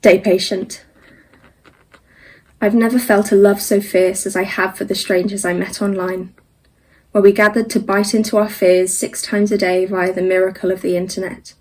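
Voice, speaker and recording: Young female actor, medium pitch, restrained intonation, sincere and heartfelt delivery to camera. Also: level tone, moderate pace, darkish tone, rough recording. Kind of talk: personal video message.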